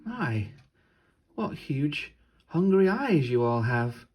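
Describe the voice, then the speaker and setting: A man's voice: a little high, very animated, slow, slightly rough, highs cut off, voice pushed up, full character voice. A man voicing Little Red, a curious, kind young girl in a storybook, with plain, earnest phrasing. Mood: wary wonder.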